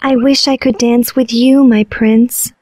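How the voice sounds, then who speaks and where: A woman voicing a heartbroken young mermaid, gentle and regretful, in an animated fairy tale. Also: a little high, unhurried. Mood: wistful.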